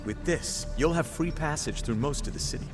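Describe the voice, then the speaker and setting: A man's voice: on the high side, lively intonation, normal speed, music in the background, natural voice. A man acting a polite, conciliatory sect official in video game dialogue. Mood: courteous. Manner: polite, accommodating.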